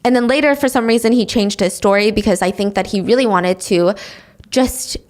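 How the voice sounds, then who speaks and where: A woman's voice: mid-range voice, fairly quick, darkish tone. A woman hosting a true crime podcast, telling the story in casual chat with sarcastic asides and quoted voices. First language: English. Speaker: podcast host.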